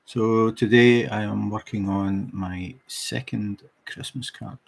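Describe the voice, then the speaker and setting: Slow gravelly Scottish man, husky. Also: fairly low pitch, a little hiss or hum. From Scotland. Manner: relaxed, unhurried, chatty with viewers. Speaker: diamond painting livestream host. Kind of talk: casual livestream chat while crafting.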